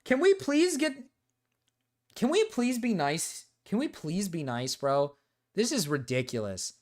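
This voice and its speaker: A young man streaming casually, excitable and swearing, swinging between loud indignant outbursts and low mumbled asides. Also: measured pace. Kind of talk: casual reaction stream chat.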